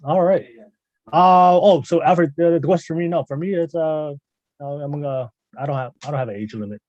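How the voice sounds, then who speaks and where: Podcast host, male, mellow voice, rapid and halting, dry lo-fi sound. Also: fairly high pitch, dry recording. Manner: rapid, restarts and hedges a lot. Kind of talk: casual chat.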